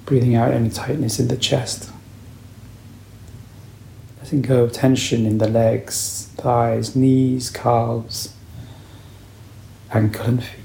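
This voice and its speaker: A man leading a guided meditation as a teacher, calm, unhurried and soothing in his instruction. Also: medium pitch, gravelly, reverberant, slight background noise.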